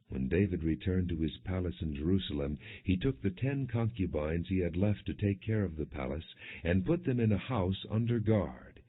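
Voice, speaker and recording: A man narrating scripture as an audiobook in a measured, solemn, non-dramatized reading style. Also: low voice, husky. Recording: dull, muffled lo-fi audio.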